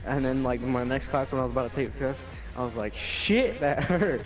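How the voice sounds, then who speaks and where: A man's voice: medium pitch, animated, brisk, over music, poor audio. A young man casually telling anecdotes to a friend, rambling, slangy and full of swearing. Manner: slangy, profane, loose and rambling.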